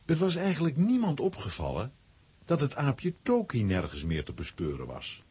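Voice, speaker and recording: A man's voice: mid-range voice, huge pitch swings, normal speed, darkish tone, breathy edge, dry recording, full character voice. A man narrating a children's adventure radio play as storyteller. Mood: storytelling. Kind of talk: scripted dramatic narration for children.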